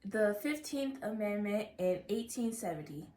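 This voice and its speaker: A young American woman reading a children's book aloud in a careful, measured way, in an echoey room. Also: medium pitch, lively intonation, dark tone. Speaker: student intern and co-reader. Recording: echoey, roomy sound.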